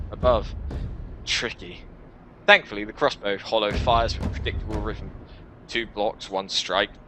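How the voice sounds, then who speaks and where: A man's voice: medium pitch, background noise. A man reading a scripted first-person action story as a dramatic narration for a video game.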